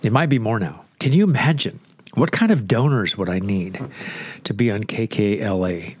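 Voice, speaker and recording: A man's voice: fairly low pitch, expressive, moderate pace, husky. A congested, stuffy-nosed man hosting a radio show as a pastor, rambling and joking at his own expense. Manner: rambling, joking, self-deprecating. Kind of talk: casual off-the-cuff radio chat.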